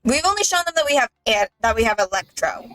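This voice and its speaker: A woman's voice: fairly high pitch, big pitch swings, fast, fairly bright tone, no room sound, low-quality recording. A woman co-playing a game on a stream, bantering casually. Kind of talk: casual banter over gameplay.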